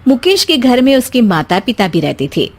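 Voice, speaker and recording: A woman's voice: on the high side, sing-song, moderate pace. A woman narrating a scripted moral bedtime story.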